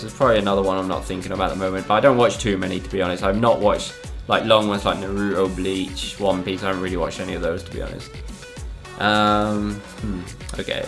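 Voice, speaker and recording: Young guy from spain doing a youtube q&a, bit low voice, ums a lot, soft music in the back. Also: quick pace. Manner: relaxed, hesitant, full of ums and "I don't know". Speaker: gaming YouTuber. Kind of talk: casual Q&A, reading and answering viewer questions.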